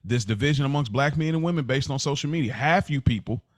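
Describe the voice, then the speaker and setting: A man with a mid-pitched voice talks casually as a podcast co-host. He is earnest, starts and restarts his thoughts, and repeats phrases to drive them home. The recording is dry. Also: fast talker, dry recording. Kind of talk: casual conversational podcast chat.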